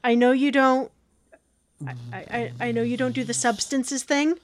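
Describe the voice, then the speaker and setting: A woman's voice: high voice, fairly even intonation, a little slow. A woman bantering casually in a sarcastic, sweary manner.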